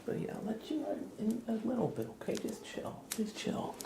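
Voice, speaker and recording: A man hosting an ASMR livestream, chatting casually and playfully in a soft voice that often slips into whispers. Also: sits high, sing-song, normal speed, noisy background. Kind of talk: ASMR, casual chat to viewers and a pet cat.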